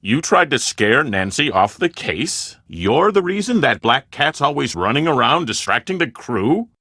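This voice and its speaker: Scheming movie producer for a video game: self-important, formal, emphatic, with a bright, forceful voice and sweeping intonation. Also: male voice, mid-range voice, big pitch swings, a little slow, no echo, studio quality, heavily acted. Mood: brisk, alarmed. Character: scheming, self-important male film producer desperate for publicity.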